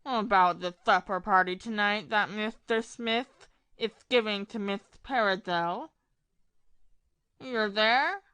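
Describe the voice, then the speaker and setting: A woman acting a man who puts on another gentleman's voice, politely and slyly inquisitive, in an audio play. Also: mid-range voice, clear, resonant tone, dry recording.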